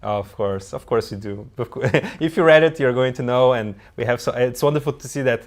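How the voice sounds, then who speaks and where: A man's voice: mid-range voice, a little fast. Chatty Brazilian man hosting a live stream. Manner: relaxed, chatty, many fillers and restarts. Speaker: livestream host. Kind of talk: casual live chat.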